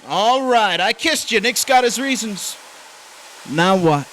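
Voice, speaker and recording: Man playing a cocky, high-voiced male drifter. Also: lively intonation, takes it slowly, fairly bright tone, slight background noise, full character voice, rough recording. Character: cocky male drifter pursuing a married woman. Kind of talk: stage drama performed online, with first-person narration.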